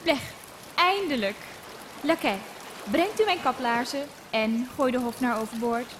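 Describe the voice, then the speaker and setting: A woman performs a spoiled, haughty queen in a comic audio play. Her voice is very high and imperious, and clear ambient noise like rain runs behind it. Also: unhurried. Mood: bored, commanding. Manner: imperious, commanding. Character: spoiled, bored, haughty queen, cruel and easily pleased. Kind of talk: comedic audio drama acting.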